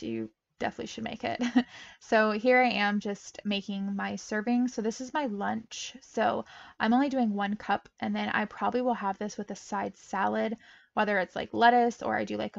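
A woman's voice: medium pitch, gravelly, no echo, dull audio. A young woman narrating her home meal prep in a bubbly, chatty way, often laughing between steps. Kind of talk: casual voice-over cooking narration. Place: home kitchen.

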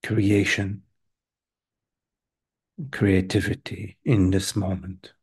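A man leading a guided meditation as a teacher, speaking gently and hesitantly in short phrases with long pauses between them. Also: deep voice, little pitch movement, breathy edge. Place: live online group session.

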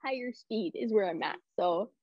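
A young woman guesting on a podcast over a video call, her voice thickened by a cold, expressive and laughing easily. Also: medium pitch, normal speed. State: under the weather, voice thickened. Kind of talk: casual interview chat. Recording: dull lo-fi call audio.

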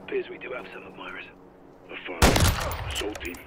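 An actor playing a cool, laconic special forces sniper in a video game, terse and deadpan. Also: male voice, brisk, close to an everyday voice. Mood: cool, watchful. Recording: loud gunfire and battle noise.

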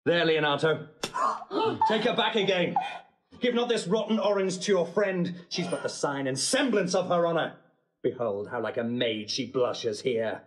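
An actor playing a proud, bitterly wronged young bridegroom, declaiming in classical Shakespearean drama style. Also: male voice, on the high side, a little slow, natural voice. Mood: anguished fury.

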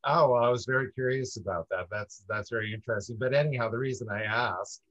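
A man from upstate New York, a guest in a casual interview, talking in an earnest, measured way with light laughs. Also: medium pitch, a bit bright, no room sound. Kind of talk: casual video-call interview. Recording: dull muffled dry audio.

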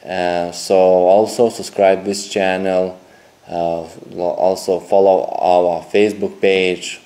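A young man, a dating coach making a sales pitch to camera indoors, talking in halting, repetitive, phrasing. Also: little pitch movement, dark tone. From Lithuania. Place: indoor room with a TV screen behind.

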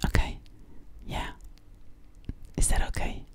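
A woman's voice: slow pace, darkish tone, natural voice. A young woman playing a sweet, slightly sassy alien girl in a soothing ASMR roleplay, whispering breathily close to the microphone and repeating her words. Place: small dim living room, indoors. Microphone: close studio microphone with foam cover, binaural.

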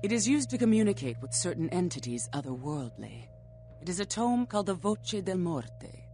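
A woman's voice: on the low side, very animated, normal speed, warm tone, soft background music. A woman playing a morbid, scholarly vampire in a video game, speaking in formal, solemn, archaic phrases with cold philosophy. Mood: measured, scholarly. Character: morbid scholarly female vampire flesh-eater, coldly philosophical. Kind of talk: scripted video game dialogue.

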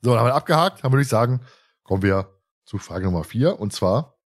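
A man's voice: medium pitch, lively intonation, fairly quick. Chatty male podcaster, rambling and repetitive. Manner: rambling, repeats words and phrases. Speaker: podcast host. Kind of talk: casual fan podcast chat.

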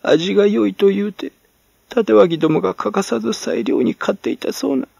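A man voicing a croaking, gasping old woman who mutters excuses for herself in rustic, archaic speech, in a storybook reading. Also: high voice, moderate pace, darkish tone, no echo, voice pushed up, exaggerated voice. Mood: pleading, self-justifying. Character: croaking, muttering, self-justifying old hag in rags.

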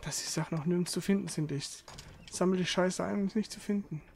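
A man with a high voice chats through a video game at a quick clip. He grumbles and swears freely in a casual let's play style, with noise behind him. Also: gravelly, a little mellow. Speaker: gamer playing and commenting for a channel.

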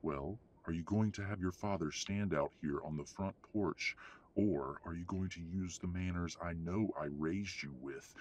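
A man's voice: low-pitched, normal speed, a little mellow. An actor playing a haughty, middle-aged father who speaks in a pompous, formal, condescending way in an audio roleplay. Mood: cold, formal. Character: haughty, cold, domineering upper-class father. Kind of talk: scripted audio roleplay acting.

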